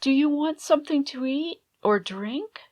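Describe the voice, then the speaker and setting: A woman playing a tense, weary hostess in a dangerous world in an audio drama, speaking in a measured, guarded way. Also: high-pitched, animated, darkish tone, no room sound. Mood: weary, haunted.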